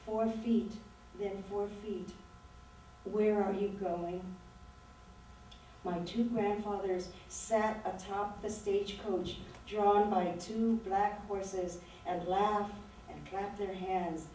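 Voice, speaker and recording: Woman, 60s, author reading poetry, slow and reflective, mellow tone, faint room hiss. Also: mid-range voice, steady tone. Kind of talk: poetry reading with talk between poems. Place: lecture room at a literary event. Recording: very echoey room, voice distant from mic.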